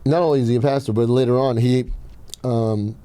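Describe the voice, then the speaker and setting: A man's voice: mid-range voice, fairly even intonation, a little fast, dark tone, dry recording. A man from Iran telling personal stories casually as a podcast host, blunt and swearing freely.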